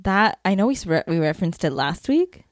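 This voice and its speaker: A young woman co-hosting a podcast in lively casual chat, often talking over her partner. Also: fairly low pitch, a little fast, dry recording, studio quality. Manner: animated, talks over co-host. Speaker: podcast co-host.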